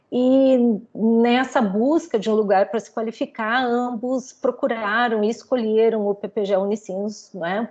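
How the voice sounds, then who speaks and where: A middle-aged professor introducing speakers at an online lecture, chatty and full of filler tags. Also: female voice, mid-range voice, darkish tone, muffled recording. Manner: conversational, frequent filler tags. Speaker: professor and event host.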